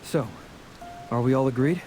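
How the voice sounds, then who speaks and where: A man's voice: mid-range voice, moderate pace, music in the background, natural voice. A man voicing a straightforward, curious young adventurer in a scripted video game. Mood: frank.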